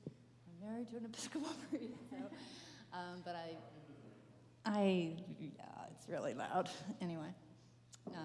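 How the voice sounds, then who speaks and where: A woman's voice: fairly low pitch, darkish tone. A woman introducing herself in a class, good-humored and a little flustered. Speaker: class participant. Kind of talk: casual self-introduction. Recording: long church-hall reverb.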